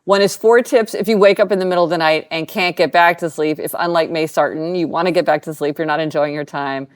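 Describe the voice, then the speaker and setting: Upbeat woman podcast co-host, lowish voice. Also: steady tone, fast talker. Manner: upbeat, exclaiming, reads out links and resources. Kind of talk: casual podcast chat.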